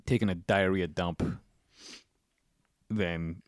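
A man with a deep, low voice hosts a casual podcast, cracking crude jokes and rambling. He sounds a bit sniffly from spring allergies. The studio sound is dry and close. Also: steady tone, slow, dry recording. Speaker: podcast host.

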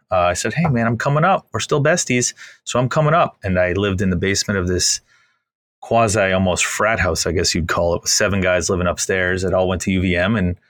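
Deep-voiced easygoing chef. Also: male voice, fairly bright tone, no room sound, studio quality. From Massachusetts, US. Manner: chatty, rambling, easygoing with self-deprecating humor. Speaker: chef and restaurant owner, guest. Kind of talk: casual interview storytelling.

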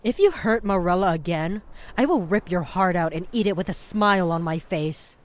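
A woman's voice: on the low side, lively intonation, normal speed, lightly acted. A woman playing a fierce, protective, vengeful mermaid sister in an audio drama, with an imperious, threatening delivery. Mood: stern, menacing.

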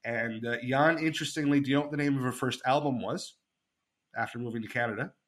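A man's voice: mid-range voice, fast. A man hosting a casual podcast chat, telling a story with lots of fillers and enthusiasm. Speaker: podcast host.

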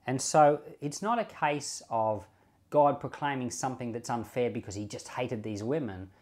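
A man's voice: medium pitch, moderate pace, darkish tone. A man giving informal Bible teaching as a pastor, reasoning aloud with rhetorical questions and repeating key points for emphasis. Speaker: pastor and Bible podcast host.

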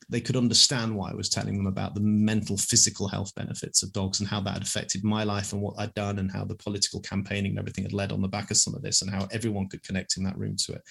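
A man in his fifties, an animal advocacy campaigner, answering interview questions with a loud voice that carries, speaking quickly off the cuff. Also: on the low side, gravelly, breathy edge.

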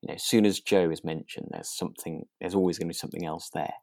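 Guy on a chat podcast, deep creaky voice, kind of hesitant, working it out as he goes. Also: steady tone, fast talker, a little mellow, no echo, studio quality. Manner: hesitant, tentative, thinking aloud. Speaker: podcast co-host. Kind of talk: relaxed casual discussion of a radio drama.

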